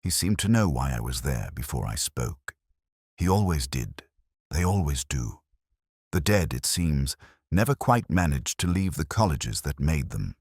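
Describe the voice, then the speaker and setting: A man narrating a scripted first-person detective story in a measured, reflective, literary manner. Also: extremely low pitch, sing-song, husky, no room sound, studio quality.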